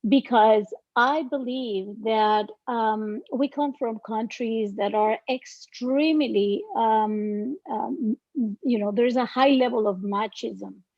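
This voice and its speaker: A woman from the Dominican Republic with a Dominican Spanish accent, a podcast guest who talks in an earnest, reflective way with many pauses and fillers. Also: a little high, a little mellow, no room sound, highs cut off. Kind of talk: podcast interview.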